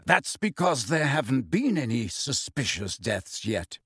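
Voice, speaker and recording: A man voicing a blunt, protective, quietly intense godfather in an audiobook, measured and deliberate. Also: mid-range voice, big pitch swings, slightly rough, no echo, clean studio sound, full character voice. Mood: serious, informing.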